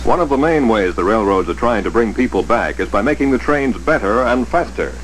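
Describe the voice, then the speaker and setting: A man reading scripted 1950s documentary narration in a measured, formal, dramatic way. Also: medium pitch, animated. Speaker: newsreel narrator. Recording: noisy old 1950s film soundtrack.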